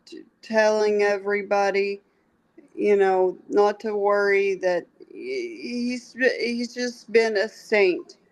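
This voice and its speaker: Woman podcast guest; very slow, halting delivery. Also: medium pitch, steady tone, poor audio. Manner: slow, halting, with many restarts and fillers. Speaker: guest, pest control safety director. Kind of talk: casual podcast chat.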